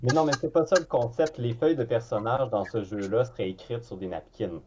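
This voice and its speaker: A man with a Québécois accent chatting casually, playfully pitching a game idea. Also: fairly quick, lo-fi sound, heavy background noise. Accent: Québécois French. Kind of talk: casual chat on a stream.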